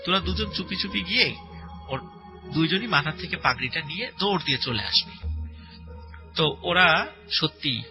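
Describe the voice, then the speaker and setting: Guy with kinda high voice telling a ghost story, halting and repeating himself, music playing behind him. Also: expressive, rough recording. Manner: halting, repetitive, suspenseful retelling with filler words. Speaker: guest storyteller. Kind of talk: spoken horror story told conversationally to a host. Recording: voice over prominent background music.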